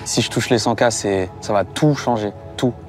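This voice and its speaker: Homme réfléchi qui se confie en entretien. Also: male voice, fairly low pitch, normal speed, music in the background. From Madagascar. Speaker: rap contestant. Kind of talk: interview confessional.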